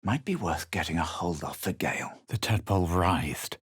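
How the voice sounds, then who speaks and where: A man playing a haughty, self-assured young adventurer in a fantasy video game, speaking in a measured, theatrical way. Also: sits low, lively intonation, husky, no room sound, clean studio sound, full character voice. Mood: measured, grave. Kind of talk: dramatic video game voice lines and narration.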